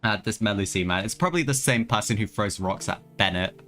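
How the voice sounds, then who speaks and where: A woman's voice: a bass voice, a little fast, a bit bright. A woman streaming gameplay with casual, rambling commentary and slangy, muttered exclamations. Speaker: game streamer. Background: game music.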